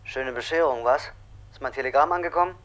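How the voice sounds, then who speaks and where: An actor playing a brash, pushy crook in a radio play, clipped and chummy, heard through a telephone filter. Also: male voice, mid-range voice, fast, fairly bright tone. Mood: agitated, pushy. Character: brash, hurried crooked male associate on a long-distance call. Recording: dull, narrow telephone line.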